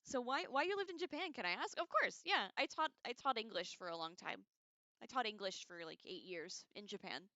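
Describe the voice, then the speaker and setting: A woman hosting a casual livestream read-along, expressive and informal, reading aloud and adding chatty, slangy asides. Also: mid-range voice, fairly quick, no echo, muffled recording. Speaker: livestream host reading a book aloud with commentary.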